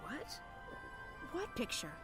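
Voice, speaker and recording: A woman's voice: takes it slowly, lightly acted. A woman playing a jaded young resistance leader in a video game, curt, guarded and commanding. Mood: suspicious. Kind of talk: video game cutscene acting. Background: background music.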